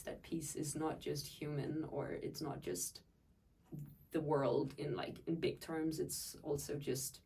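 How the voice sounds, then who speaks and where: A young woman from Denmark with a notably low voice gives reflective answers in an interview. The microphone is some way off, so she sounds a little distant. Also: a little mellow. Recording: voice picked up from a distance.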